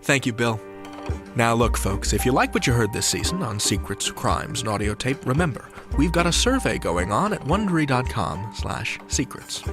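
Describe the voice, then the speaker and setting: A man's voice: medium pitch, sing-song, moderate pace, over music. A man hosting a podcast in a polished, playful presenter style. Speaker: podcast host. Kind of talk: interviewing and scripted show outro.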